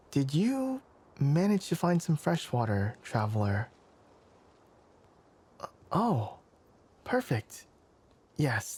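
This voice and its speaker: A man playing a young, scholarly forest ranger with animal ears in an ASMR roleplay, courteous and measured, with a soft, breathy voice. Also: mid-range voice. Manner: measured, hesitant, courteous, bookish phrasing. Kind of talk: ASMR roleplay, soft character acting addressed to listener.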